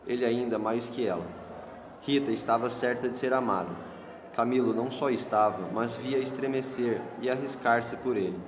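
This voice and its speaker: The recording echoes heavily and has clear background noise. A man with a medium-pitched voice reads scripted literary narration for an amateur radio play. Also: moderate pace.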